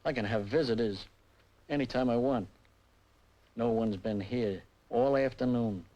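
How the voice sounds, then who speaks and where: A man's voice: mid-range voice, unhurried, dark tone, lightly acted, poor audio. An actor as a seasoned male fixer in an old crime drama, with a mid-range voice. He is genial and sure of himself, talking in short, knowing phrases. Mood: confident, obliging. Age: middle-aged or older. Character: genial, confident veteran political fixer, a hospital patient.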